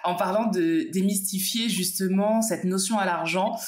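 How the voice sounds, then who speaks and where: A woman of Martinican origin hosts a relaxed conversation, asking her guest questions with curiosity and bright lifts in her voice. Her voice sits in a middle pitch. The recording has a noticeable roomy echo. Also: moderate pace. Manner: curious, asks questions, lively rises in pitch. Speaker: host. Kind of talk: casual conversation.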